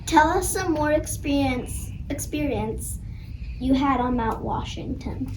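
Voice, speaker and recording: A woman's voice: high-pitched, measured pace, darkish tone. A female interviewer, reading out short scripted questions. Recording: echoey, far from mic, noisy background.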